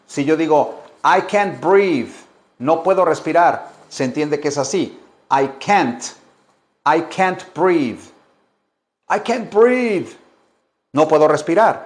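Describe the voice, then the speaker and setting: Slow, patient man drilling vocabulary. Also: fairly high pitch. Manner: slow, deliberate drilling with many repetitions. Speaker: English teacher. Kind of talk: bilingual language lesson.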